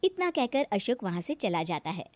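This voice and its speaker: A woman's voice: medium pitch, big pitch swings, normal speed, very noisy. A woman narrating a scripted moral story as the storyteller.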